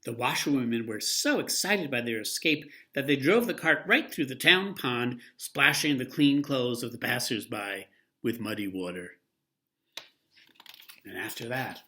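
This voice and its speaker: A man reading a picture book aloud as a storyteller, with a lively, expressive delivery. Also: mid-range voice.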